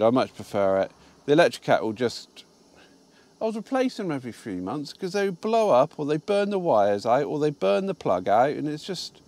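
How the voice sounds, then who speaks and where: A man's voice: lowish voice, a little mellow. A man chatting casually about his day while parked in his truck cab, rambling with fillers and restarts. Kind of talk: casual vlog chat.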